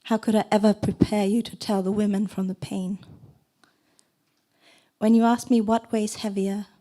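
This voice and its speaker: Woman reading poetry aloud, soft and measured. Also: mid-range voice, little pitch movement. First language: not English. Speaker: poet reading at a book presentation. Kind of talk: poetry reading with brief asides.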